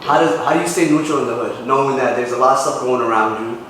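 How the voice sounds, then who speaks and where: A man's voice: medium pitch, quick pace, a bit bright, a little hiss or hum. Friendly male podcast host, echoey. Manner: friendly, probing questions. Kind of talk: casual interview questions. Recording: echoey room, voice far from mic.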